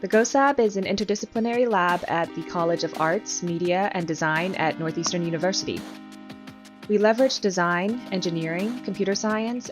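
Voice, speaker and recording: A woman reading a scripted podcast introduction in a smooth, read-aloud delivery. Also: on the low side. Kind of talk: scripted narration. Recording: intro with faint music behind.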